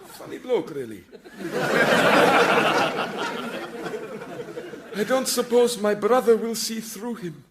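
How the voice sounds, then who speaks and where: An actor playing an excitable, naively curious and self-deprecating man, in radio sitcom style. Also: high voice, normal speed, slight background noise. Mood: amused, wry. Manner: questioning, excitable.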